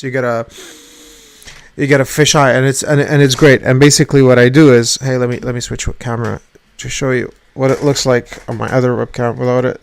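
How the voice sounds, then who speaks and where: A man talks to his webcam from his desk in a loose, rambling rant. English is not his first language, and he swears freely, stumbles and restarts his phrases again and again. His pitch sits in the middle for a man. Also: gravelly. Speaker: vlogger.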